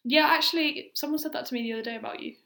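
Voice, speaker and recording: A woman's voice: high voice, rapid-fire, sharp tone. A young woman in her twenties hosting a casual interview, asking questions in a friendly, teasing way. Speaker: podcast host. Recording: roomy echo.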